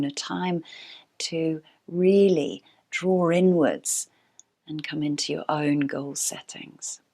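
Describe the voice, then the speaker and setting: Low, slow-speaking woman guiding relaxation. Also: creaky. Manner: calm, unhurried, gentle pauses. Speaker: restorative yoga teacher. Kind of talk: slow guided relaxation instruction.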